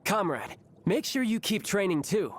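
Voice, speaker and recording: A man's voice: high voice, very animated, moderate pace. An actor voicing a cocky, playful, battle-hungry young male warrior for a video game, with a breezy, boastful, theatrical delivery. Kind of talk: video game character voice lines. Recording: music behind the voice.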